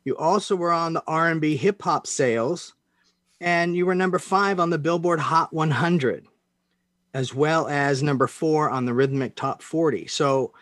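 Male interviewer, slightly high voice, dry sound, casual and halting conversational delivery. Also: fairly bright tone, no echo. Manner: halting, peppered with "you know". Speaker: interviewer, host.

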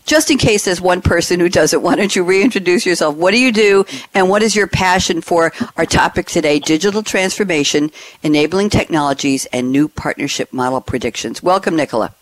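A woman hosting a business technology radio talk show, welcoming guests and stringing together lists with enthusiasm. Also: fairly low pitch. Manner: enthusiastic, listing items in quick strings.

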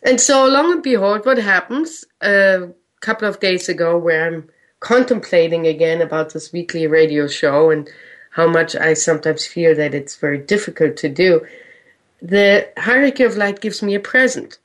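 A woman with a German accent hosting a spiritual radio show, speaking in a gentle, earnest way with slow, drawn-out phrases. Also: mid-range voice. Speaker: spiritual radio host and channel. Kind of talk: reflective spiritual talk.

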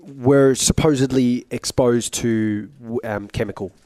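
A young man with a British-sounding accent, telling his story casually and haltingly as a guest, with some joking. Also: mid-range voice, fairly even intonation, darkish tone, no room sound. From Iraq, later Australia. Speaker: interview guest, singer. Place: at a conference.

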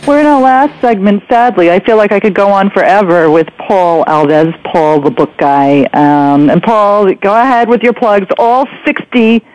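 A woman's voice: medium pitch, a little slow, hardly any pauses, darkish tone, faint music behind. A woman hosting a radio talk show, chatting in a casual, informal and rambling way. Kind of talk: casual interview chat. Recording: muffled, dry internet radio sound.

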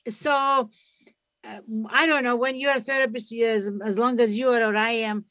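A woman with a Greek accent talks earnestly as a therapist guest, often restarting her sentences. Her voice sits a bit high and the recording is dry and close, with no room sound. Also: steady tone, normal speed, a bit bright, no room sound. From Greece. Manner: earnest, restarts often, non-native grammar. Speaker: hypnotherapist and author, guest. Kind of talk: conversational interview.

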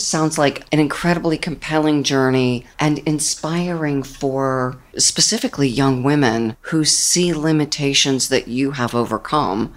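A woman hosting a leadership podcast, reading a scripted introduction and asking interview questions in a measured way. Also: rumbling low. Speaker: podcast host. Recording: soft music bed under the voice.

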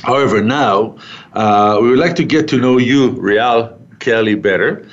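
A male talk show host with a non-native English accent, interviewing a guest in a chatty, halting way with many fillers and restarts. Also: mid-range voice. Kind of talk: interview hosting. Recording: dull lo-fi sound.

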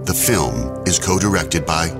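Deep-voiced male host over loud music. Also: lively intonation, measured pace. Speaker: host introducing a film and guest. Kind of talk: scripted promotional narration.

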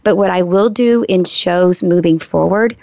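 Hoarse, gravelly woman radio host. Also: fairly low pitch, unhurried, no echo. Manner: chatty, warm asides, frequent ums and restarts. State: hoarse, recovering from a lost voice. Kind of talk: casual solo talk to listeners. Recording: slightly dull lo-fi radio recording.

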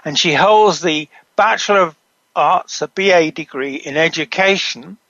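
A male host reads his introduction at a steady, measured pace. His voice sits fairly high, and it comes across dry and a little muffled, with no room sound around it. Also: no room sound. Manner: measured, reading from a script. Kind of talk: scripted talk show introduction.

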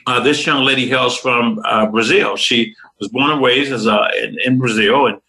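A man's voice: medium pitch, fairly even intonation, normal speed, pushed and bright, low-quality recording. A man hosting a motivational talk show, speaking in an upbeat, preacher-like way.

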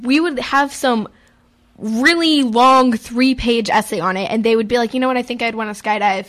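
A woman's voice: fairly high pitch, moderate pace, fairly bright tone. Chatty giggly teen girl co-host. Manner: chatty, giggly, talks over her co-host. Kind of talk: casual chat.

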